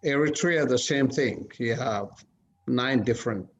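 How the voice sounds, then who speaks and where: Ethiopian-born male panelist, unhurried, thoughtful, dull call sound. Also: medium pitch. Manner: measured, halting, many restarts. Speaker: conference panelist. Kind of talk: casual panel discussion. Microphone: video call.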